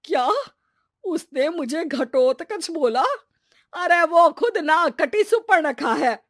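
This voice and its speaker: A woman's voice: sits high, lively intonation, takes it slowly, no echo, clean studio sound. A woman voicing a quarrelsome older mother-in-law in a cartoon story, complaining in an affronted, emphatic way. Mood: indignant. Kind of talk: cartoon story character acting.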